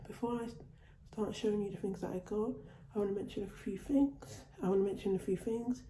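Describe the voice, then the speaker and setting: Dark-voiced woman chatting in a haul vlog. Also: mid-range voice, steady tone, a little fast, roomy sound, poor audio. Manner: chatty, repeats and restarts phrases. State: recovering from a cold. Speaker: vlogger. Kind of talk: casual haul video. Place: at home, indoors.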